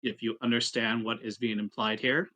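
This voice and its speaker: Halting man with a bright, forward voice. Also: medium pitch, flat delivery. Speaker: tabletop roleplaying player. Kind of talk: casual roleplay, narrating his characters' actions.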